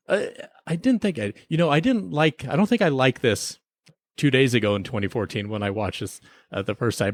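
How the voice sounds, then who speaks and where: A man's voice: medium pitch, no room sound. A man talks as a podcast co-host in casual review chat. He rambles through his opinions in loose, slangy phrasing. The sound is dry, with no room around the voice.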